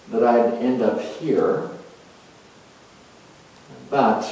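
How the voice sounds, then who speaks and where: A man playing an earnest, self-assured spiritual coach in a dramatized monologue, reflective and halting, with deliberate pauses. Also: fairly low pitch, mellow. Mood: solemn, measured. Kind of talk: dramatized monologue as if answering an interview. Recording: distant mic in very echoey room.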